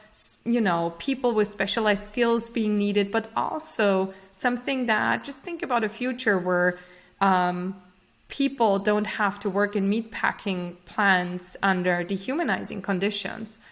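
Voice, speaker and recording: A woman's voice: medium pitch, fairly even intonation, unhurried. Austrian-born woman, earnest startup founder. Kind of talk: interview answers.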